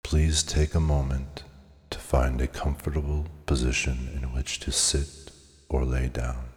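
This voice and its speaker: A man narrating a scripted guided meditation in a measured, soothing, hypnotic way with deliberate pauses. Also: extremely low pitch, little pitch movement, a little mellow. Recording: long cavernous reverb on the voice.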